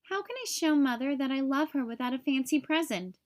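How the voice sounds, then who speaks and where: Storybook young boy turtle read by a woman: high voice, sincere, full of questions. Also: animated, brisk. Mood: earnest, puzzled. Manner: earnest, questioning. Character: earnest little boy turtle in a picture book.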